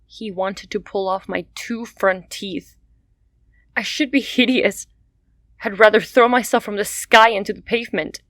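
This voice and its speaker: A woman's voice: mid-range voice, a little slow, fairly bright tone, dry recording. A woman voicing a poor young mother, anxious and pleading, desperate yet proud. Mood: distraught. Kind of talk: amateur radio play acting.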